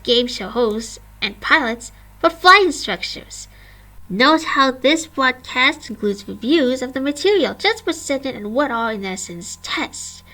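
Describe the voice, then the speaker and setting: A woman in her twenties from Canada, reading a scripted history in a steady, list-like recitation of names and dates. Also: high-pitched, poor audio. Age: 20s. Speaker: vlogger.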